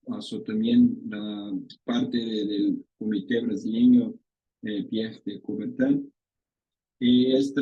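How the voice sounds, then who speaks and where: Brief: Brazilian man, academic presenter, low mellow voice, unhurried, stumbling through a non-native language on a video call. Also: muffled recording. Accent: Brazilian Portuguese. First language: Portuguese. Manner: halting, searching for words in a second language. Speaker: university researcher presenting. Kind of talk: conference presentation.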